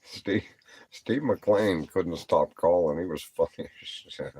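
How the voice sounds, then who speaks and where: A man's voice: deep voice, slow pace, darkish tone, husky, dry recording. A tired man rambling and swearing on a late-night live stream, lying down as he talks and repeating himself. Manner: talking while lying down.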